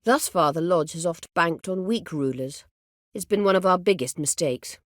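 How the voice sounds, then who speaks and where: An actress playing an imperious, scheming middle-aged sorceress in a video game, speaking formally and with measured, biting sarcasm. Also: female voice, fairly low pitch, no room sound, clean studio sound, lightly acted. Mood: measured, persuasive. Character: imperious, scheming sorceress, proud, sardonic and vengeful. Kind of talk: video game character dialogue.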